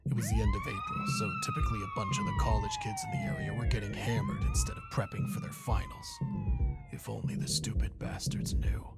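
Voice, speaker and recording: An actor playing a bitter, grieving, foul-mouthed middle-aged police inspector in a video game, with a gravelly, breathy, world-weary delivery. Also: male voice, extremely high pitch, sing-song, fairly quick, over loud music, full character voice. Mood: cynical. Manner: world-weary, cynical, swearing. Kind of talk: noir crime narration in interrogation dialogue.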